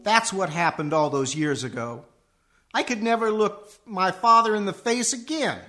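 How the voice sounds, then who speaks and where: Man acting as young musician son, radio comedy: earnest, melodramatic, very animated and bright. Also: high voice, normal speed, soft background music. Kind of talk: comic radio play acting.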